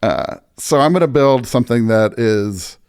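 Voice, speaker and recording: A man's voice: medium pitch, lively intonation, a little slow, a little mellow, smooth. An American man talking as a pastor in a conversational interview, earnest and emphatic, often checking in with "right?" and "you know". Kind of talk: conversational podcast interview, explaining beliefs.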